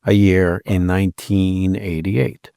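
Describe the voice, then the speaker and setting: A man in his sixties, raised in Israel and then the American Midwest, answering interview questions in a measured, polite, modest way. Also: low-pitched, level tone, creaky, warm tone, clean tone, dry recording, clean studio sound. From Israel, raised in Minneapolis from age twelve. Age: 60s. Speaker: architect guest. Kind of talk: interview conversation.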